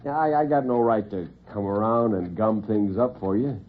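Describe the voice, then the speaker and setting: A man's voice: on the low side, normal speed, pitched below the performer's own voice, lightly acted. A man playing a subdued, apologetic ex-convict brother in classic radio drama. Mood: subdued, uneasy. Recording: tinny, narrow-band old radio recording.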